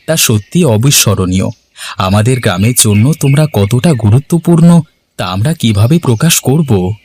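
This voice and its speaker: Guy doing an earnest grateful cartoon villager, formal speeches, mid pitch, bit of noise behind. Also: natural voice. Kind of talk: scripted cartoon dialogue.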